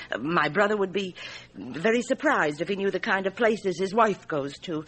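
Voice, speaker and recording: An actress playing a frank, bitter jilted fiancée in a radio drama, with a wry, outspoken way of talking. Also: female voice, lowish voice, a bit bright. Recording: noisy radio drama recording.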